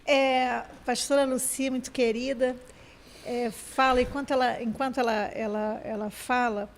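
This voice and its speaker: High-voiced woman talking fast with restarts. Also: level tone, slight background noise. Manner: fast, searching speech with many restarts. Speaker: program co-host.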